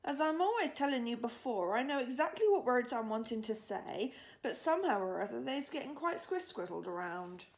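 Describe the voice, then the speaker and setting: Woman doing a gentle old giant, eager, mixes up words, lively up-and-down voice with some gravel. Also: fairly high pitch, brisk, hardly any pauses, pitched above the performer's own voice. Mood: earnest. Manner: jumbled made-up words, eager. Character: big friendly old male giant, gentle.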